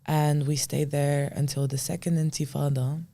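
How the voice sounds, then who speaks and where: A woman's voice: sits low, flat delivery, normal speed, dark tone. A young woman from France, chatting casually as a guest in a podcast interview.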